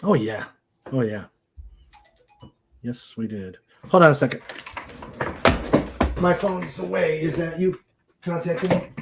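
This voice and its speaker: A man chatting casually as co-host of a livestream, halting and often searching for his words. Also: a little high, vocal fry, very noisy.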